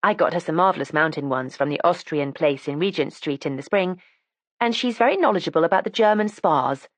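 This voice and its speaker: A woman's voice: sits low, lively intonation, fairly quick, no echo. A woman reading the part of a precocious, matter-of-fact teenage schoolgirl who chats candidly and primly. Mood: earnest. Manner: chatty, candid and prim.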